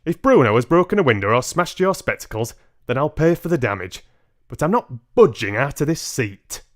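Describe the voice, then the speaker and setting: A man's voice: mid-range voice, very animated, moderate pace, pitched above the performer's own voice, close to an everyday voice. A man voicing a large, coarse, easily irritated father in a brusque, pompous manner for a storybook. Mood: stubborn, annoyed.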